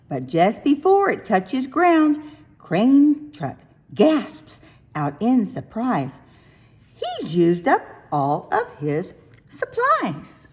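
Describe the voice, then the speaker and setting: A woman reading a rhyming picture book aloud for young children, with expressive, sing-song, deliberate phrasing. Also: medium pitch, husky. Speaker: children's storybook reader.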